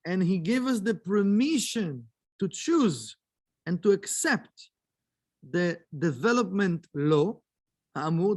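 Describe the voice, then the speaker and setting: A man's voice: high-pitched, a little mellow. A man teaching a kabbalah study lesson, earnest and repeating phrases for emphasis. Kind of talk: early morning study lesson.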